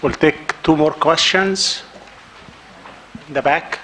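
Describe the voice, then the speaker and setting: Bright-toned man moderating a panel. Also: a little high, slow pace, a little hiss or hum, poor audio. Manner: light, joking, brief.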